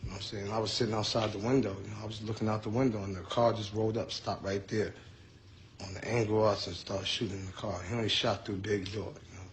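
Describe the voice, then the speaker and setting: A man's voice: lowish voice, flat delivery, fast talker, slight background noise, rough recording. A man giving an informal eyewitness account in an interview, colloquial and repeating his words as he goes. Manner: repetitive, colloquial.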